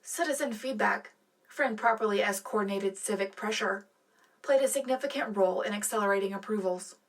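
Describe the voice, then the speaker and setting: Lady doing sci-fi propaganda news host, polished and formal, wryly upbeat, average pitch. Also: brisk, close to an everyday voice, rough recording. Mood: proud, official. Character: polished, cheerfully loyal female propaganda radio anchor. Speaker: radio co-host. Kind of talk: scripted in-world news broadcast.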